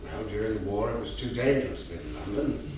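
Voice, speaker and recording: A man's voice: on the low side, expressive, brisk, natural voice. Man playing a tender grandfather, very echoey room. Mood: tender, reminiscing. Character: gentle doting grandfather telling bedtime stories. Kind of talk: staged play reading, storytelling. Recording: echoey theatre from far off, faint noise.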